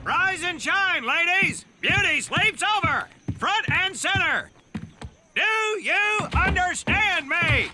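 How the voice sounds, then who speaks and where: An actor playing a pompous, sardonic Marine drill instructor who declaims like a preacher and barks orders in a war game. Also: male voice, extremely high pitch, animated, takes it slowly, over music, heavily acted. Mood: barking. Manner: declamatory mock-biblical oratory, barking orders. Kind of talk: theatrical military speech in a war game.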